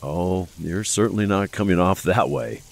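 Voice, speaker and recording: A man playing a suave, secretive inventor with a gravelly voice in an audio drama. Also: low-pitched, normal speed, dry recording. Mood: smooth, amused. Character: suave, secretive male American inventor of Cheyenne roots.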